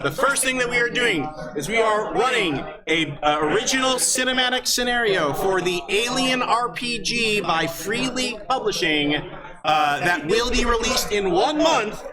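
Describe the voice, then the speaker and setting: A man hosting a live game show as game master, speaking off the cuff in a halting, joking way. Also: sits high, lively intonation, over loud music. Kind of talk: unscripted live stage introduction. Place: convention hall with live audience. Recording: loud crowd, live convention stage.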